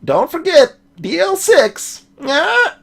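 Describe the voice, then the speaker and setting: A man's voice: wildly swooping pitch, slow, vocal fry, a bit bright, exaggerated voice. A man voicing a squawky pet parrot that exclaims set phrases in a video game let's play. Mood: squawking. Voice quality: squawky, shrill.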